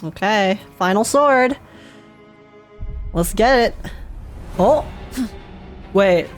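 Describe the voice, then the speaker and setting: A woman's voice: mid-range voice, lively intonation, very slow. A woman giving casual, gushing and slangy live reaction commentary while playing a video game. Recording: game music behind the voice. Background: video game music.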